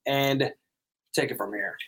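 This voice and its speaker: A man's voice: medium pitch, measured pace, a bit bright, husky. A young man from North Dakota, a band member, telling his story in an outgoing, upbeat way. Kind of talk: casual interview answers.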